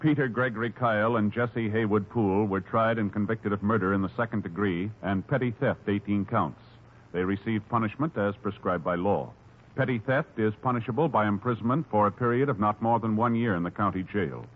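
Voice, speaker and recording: Formal American radio announcer, husky low voice. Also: male voice. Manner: measured, official. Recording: narrowband 1950s radio recording.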